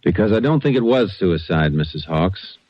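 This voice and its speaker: An American man acting a steady, probing Texas Ranger in an old-time radio drama, with terse, businesslike delivery. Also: low voice, moderate pace. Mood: firm, narrating. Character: steady, probing male Texas Ranger who narrates his case. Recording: noisy muffled old radio recording.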